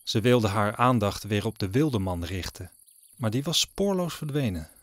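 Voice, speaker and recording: A man narrating an audio drama as a scripted story, deliberately, with suspenseful pauses. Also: on the low side, animated, dry recording. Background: music.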